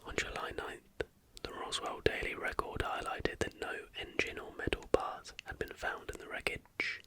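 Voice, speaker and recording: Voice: normal speed, sharp tone. Unvoiced ASMR whisper, reading scripted narration. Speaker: ASMR video host.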